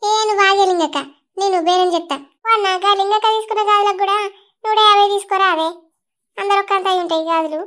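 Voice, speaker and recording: A squeaky, bright cartoon mother-in-law who fusses loudly in a Telangana Telugu accent. She talks very fast and very high. Her village manner is bustling and excitable. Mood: haggling. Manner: loud, fussing, quick Telangana village talk. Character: fussy village mother-in-law, middle-aged woman. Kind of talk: cartoon voice acting.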